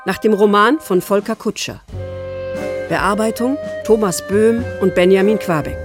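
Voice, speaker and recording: A woman announces the title and cast of a radio drama in a formal, even voice. Clear music plays under her reading. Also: mid-range voice, measured pace. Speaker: credits announcer. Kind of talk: scripted announcement.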